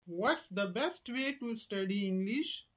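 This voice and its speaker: A woman voicing a friendly cartoon boy who asks clear, simple questions in a slow scripted practice dialogue. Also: medium pitch, animated.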